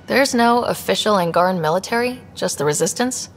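A woman's voice: medium pitch, lively intonation, a little fast, slight background noise, natural voice. A woman voicing a young space explorer in a video game, earnest, direct and full of probing questions. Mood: curious, earnest. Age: young adult. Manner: direct, inquisitive. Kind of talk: video game dialogue acting.

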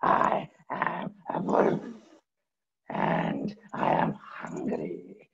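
A woman voicing a hungry, menacing male wolf in a storybook tale, slow and breathy. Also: lowish voice, huge pitch swings, muffled recording, pitched below the performer's own voice, exaggerated voice.

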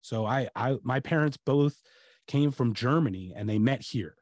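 A man's voice: mid-range voice, normal speed, darkish tone, dry recording, clean studio sound. Chatty American man hosting a video-call podcast. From United States. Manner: rambling, many restarts and fillers. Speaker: podcast host. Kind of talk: casual interview chat. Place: home room, video call.

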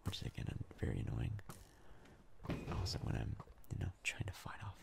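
A man giving hushed, casual, halting ASMR gameplay commentary in a breathy, mostly whispered voice as a video host.

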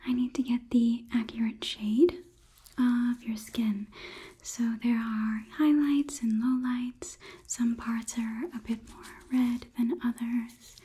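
A young woman doing a whispered ASMR roleplay as a polite, clinical examiner, softly giving instructions up close to the microphone. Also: a little high, flat delivery, breathy edge. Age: young adult. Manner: soft, deliberate, instructive. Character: polite, clinical robot overlord examining a human. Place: small indoor room set. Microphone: close microphone, just out of frame.

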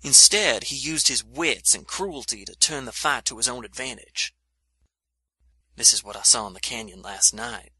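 An actor playing a shaken frontier soldier giving a grave, halting first-person account in an audio drama. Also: male voice, mid-range voice, sharp tone, breathy edge, no echo, rough recording. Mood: grave, intense. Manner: haunted, halting, grave delivery. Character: shaken frontier soldier recounting a monster attack.

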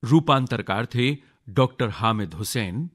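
A male radio announcer delivers scripted credits formally. He speaks very slowly in a medium-pitched voice. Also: expressive, a bit bright.

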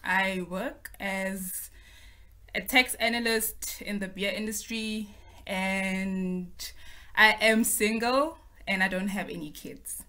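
Bright-voiced young woman hosting a video call. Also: medium pitch, steady tone, slow. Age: 20s. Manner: friendly, organized, explains step by step. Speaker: host. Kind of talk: casual video-call group discussion. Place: home room on video call. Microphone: laptop or phone on video call.

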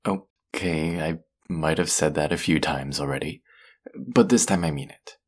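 A young man acting a tired, overworked, affectionate college boyfriend in an intimate roleplay audio, speaking softly and drowsily with hesitations and sighs. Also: extremely low pitch, a little slow, breathy edge, heavily acted. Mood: sleepy, affectionate. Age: young adult.

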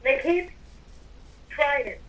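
A woman's voice: high voice, expressive, extremely slow, fairly bright tone, recorded from a distance. A young woman playing a character in a game roleplay, chatting playfully and rambling. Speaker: roleplay player. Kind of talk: casual in-character roleplay chat.

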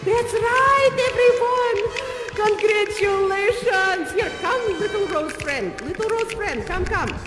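A man's voice: very high-pitched, lively intonation, full character voice. A man playing a gushing, theatrical prima ballerina dance instructor, grandiose and doting, performing live on stage as a contest host. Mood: triumphant. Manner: effusive, grandiose, doting, drawn-out delivery. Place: large echoey stage venue. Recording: echoey hall PA, music behind.

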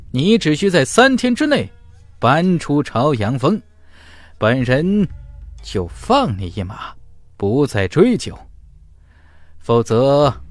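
A man's voice: mid-range voice, no room sound. A man playing a haughty, swooping-voiced cultivation villain. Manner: cold, haughty, threatening. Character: arrogant, hostile young male cultivator, newly powerful former servant.

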